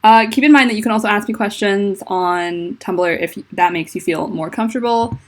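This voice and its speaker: A woman with a deep voice and a lisp chats casually on a livestream from home, rambling and self-conscious, with lots of fillers. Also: normal speed. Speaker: livestreaming fanfiction writer. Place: at home indoors. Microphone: laptop mic.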